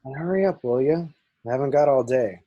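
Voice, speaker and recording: A man reading a brash, impatient, gruff but caring smuggler in a film script table read on a video call. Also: mid-range voice, a little fast, warm tone, highs cut off, lightly acted.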